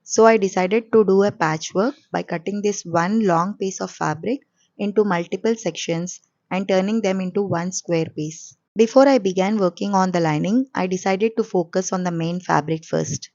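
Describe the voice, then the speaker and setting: A woman hosting a sewing channel, explaining each step calmly in a voice-over, her voice coarse and hoarse from a cold. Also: mid-range voice, normal speed, dry recording, highs cut off.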